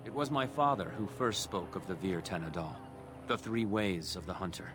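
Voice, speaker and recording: An actor playing a proud, kindly elven master craftsman in a fantasy game, speaking in a measured, formal storytelling way. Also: sits low, natural voice. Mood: solemn. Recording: loud music behind the voice. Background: game music.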